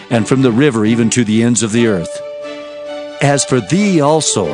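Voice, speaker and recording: An actor playing a fervent biblical prophet, declaiming solemn oracles in a dramatized scripture reading. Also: male voice, medium pitch, natural voice. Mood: solemn, proclaiming. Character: fervent male Old Testament prophet proclaiming oracles. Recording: music behind the voice.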